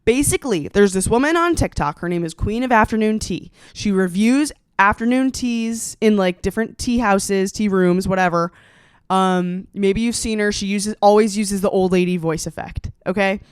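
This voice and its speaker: A woman's voice: medium pitch, normal speed, clean tone, no room sound, clean studio sound. A young American woman, a comedian, telling a story on a podcast in a casual, slangy, sarcastic way with dramatic emphasis.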